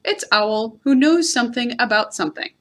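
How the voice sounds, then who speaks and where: A woman's voice: on the high side, normal speed, natural voice. A woman reading a children's storybook, voicing a friendly, earnest, helpful little bear who speaks in simple, solemn statements. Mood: earnest, determined. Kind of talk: children's storybook read aloud.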